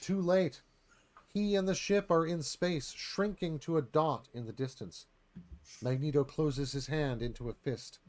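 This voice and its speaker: A man's voice: a little high, measured pace. A man narrating stage directions in a live dramatic screenplay read.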